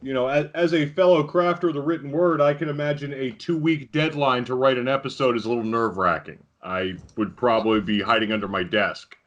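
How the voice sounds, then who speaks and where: A man's voice: medium pitch, fairly even intonation, brisk, few pauses, a bit bright, muffled recording. A man interviewing casually as a podcast host, reacting in a conversational way.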